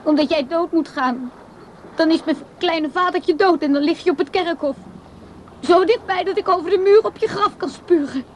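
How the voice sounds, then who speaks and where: A woman's voice: extremely high pitch, moderate pace, slight background noise. An actress playing a teasing, blunt young woman in a radio drama, probing and needling. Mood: tearful, spiteful.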